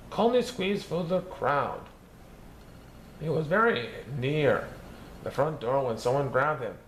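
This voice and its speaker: A man reading a children's picture book aloud, lifting his voice high for the characters' lines. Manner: lively, raising his pitch for characters' lines. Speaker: storybook reader. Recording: echoey room with faint background noise.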